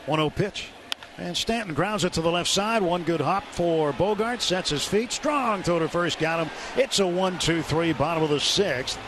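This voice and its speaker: A man calling baseball play-by-play live on the radio in short, clipped phrases. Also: on the high side. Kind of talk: live radio sports commentary. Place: stadium broadcast booth. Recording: steady stadium noise behind voice. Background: ballpark ambience.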